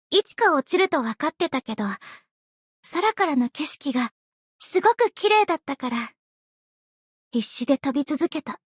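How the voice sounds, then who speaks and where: A woman playing an earnest, bubbly, easily flustered young female idol in an anime game, with a cute, expressive, girlish delivery. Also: high voice, dry recording, studio quality. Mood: dreamy, wistful. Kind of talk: anime game character voice lines.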